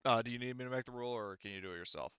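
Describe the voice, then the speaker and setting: A man's voice: mid-range voice, very fast, fairly bright tone, smooth, dry recording, dull audio. A man running a tabletop game as game master, narrating casually with swearing and jokes. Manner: sweary, joking.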